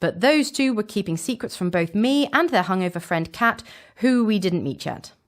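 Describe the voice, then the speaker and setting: A woman's voice: mid-range voice, expressive, moderate pace, natural voice. A woman playing a breezy, wry time-travelling companion, narrating an audio drama recap with casual, chatty asides. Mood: casual, brisk.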